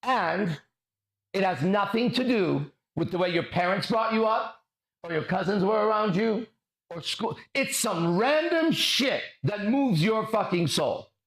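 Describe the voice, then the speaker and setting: A man's voice: high-pitched, animated, unhurried, fairly bright tone. A man giving a lecture to an audience, telling childhood stories in an intense, profane, storytelling manner. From grew up abroad, left home country at four. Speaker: motivational speaker. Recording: echoey room.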